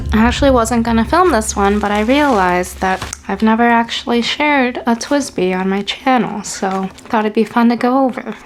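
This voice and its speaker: A woman's voice: fairly high pitch, a little hiss or hum. A woman hosting a stationery unboxing vlog, talking casually and musing aloud, with a cough drop in her mouth from an ongoing cough. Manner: chatty, musing aloud, light laughs. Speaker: stationery channel host. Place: indoors at home, construction outside.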